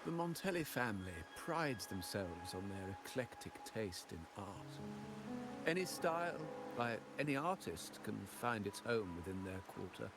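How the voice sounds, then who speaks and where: A man playing an elderly, courteous gentleman in a video game, musing in a formal, philosophical and gravelly voice. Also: on the low side, big pitch swings, normal speed, over loud music. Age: older. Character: elderly cultured gentleman, courteous, musing, cryptic art lover. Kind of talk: scripted video game acting.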